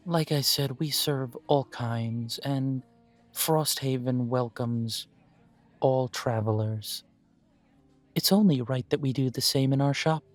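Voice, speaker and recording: A man voicing a polite male elf who owns a coffee shop, interviewing the listener for a job in a calm, formal way. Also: medium pitch, slow, close to an everyday voice. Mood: courteous. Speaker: shop manager interviewing an applicant. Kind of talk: audio roleplay, talking to the listener.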